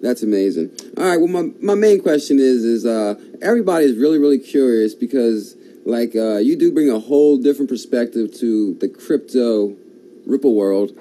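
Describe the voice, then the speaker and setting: Male host, very dark mellow tone, enthusiastic and quick to say wow, faint hiss in the recording. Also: mid-range voice, lively intonation. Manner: enthusiastic, frequent exclamations of wow and amazement. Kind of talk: conversational interview.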